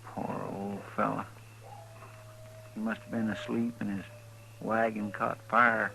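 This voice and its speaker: A plain-spoken, deferential frontier deputy in a radio western. The voice is gravelly yet sharp, with a folksy rural American drawl. The old recording carries faint noise. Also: male voice, on the low side, flat delivery, slow pace, full character voice, poor audio. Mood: sad, pondering. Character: folksy, earnest, simple deputy sidekick in the Old West. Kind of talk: 1950s radio western acting.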